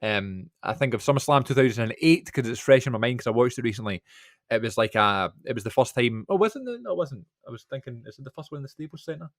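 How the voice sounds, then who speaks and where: A man's voice: mid-range voice, lively intonation, fast, no echo, clean studio sound. A man, co-hosting a podcast, chatting eagerly and rambling with lots of fillers. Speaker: podcast co-host. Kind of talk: casual chat.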